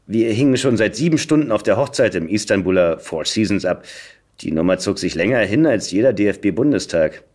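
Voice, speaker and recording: A man giving an expressive reading of a satirical first-person story, with a dry, wry comic delivery. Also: on the low side, normal speed. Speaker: podcast guest, voice actor.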